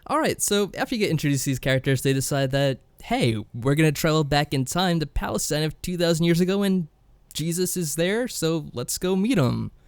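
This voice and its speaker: A man's voice: fairly high pitch, expressive, a little fast, clean tone, no echo. A man co-hosting a podcast, casually recapping a game in a wry, tongue-in-cheek way. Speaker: podcast co-host.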